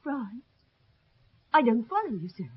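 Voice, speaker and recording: Radio drama, wistful English daughter: polite, plaintive, somewhat high voice, dull vintage recording. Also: female voice, sing-song, measured pace, slightly rough, heavily acted. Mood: polite, puzzled. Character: lonely, wistful unmarried English lady, daughter of the house.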